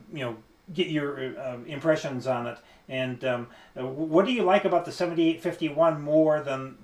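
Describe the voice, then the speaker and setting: A man talking casually as an amateur radio operator, with hesitant, halting phrasing. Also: medium pitch. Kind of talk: casual ham radio conversation. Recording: echoey, roomy sound.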